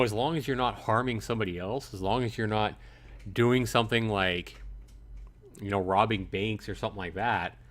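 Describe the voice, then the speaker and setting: A man streaming a live game playthrough, commentating emphatically and repeating his phrases for emphasis. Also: lowish voice. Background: game music.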